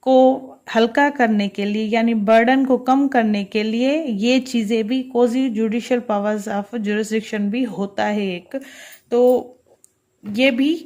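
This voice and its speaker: A woman lecturing in a halting, deliberate way, alternating between reading and explaining, mixing in English terms. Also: on the high side, darkish tone. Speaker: law lecturer.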